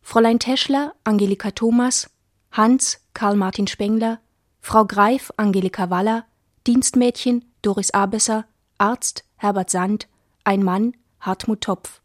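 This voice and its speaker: A woman announcer reading scripted radio drama credits in a formal, measured way. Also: mid-range voice, fairly even intonation, dry recording, clean studio sound.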